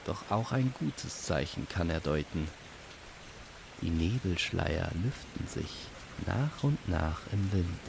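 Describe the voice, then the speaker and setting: A man reads a fantasy tale as a measured storyteller. His voice is low and creaky, and he takes his time with each phrase. Rain is clearly audible behind him. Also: lively intonation, background noise. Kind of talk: scripted fantasy story narration.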